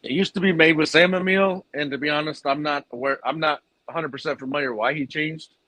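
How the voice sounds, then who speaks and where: A man's voice: on the high side, fairly even intonation, a little fast, sharp tone, no room sound. A man who runs a fish food business, answering interview questions in a plainspoken, rambling way with fillers.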